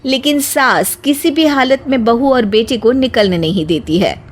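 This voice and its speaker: A woman narrator reading a scripted story in an expressive storytelling style. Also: a little high, unhurried, noisy background.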